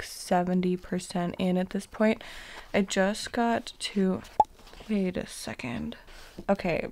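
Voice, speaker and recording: A hoarse, exhausted woman vlogging casually at home late at night, talking in a tired, rambling half-whisper. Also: mid-range voice, darkish tone, a little hiss or hum. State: exhausted from no sleep. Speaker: book vlogger.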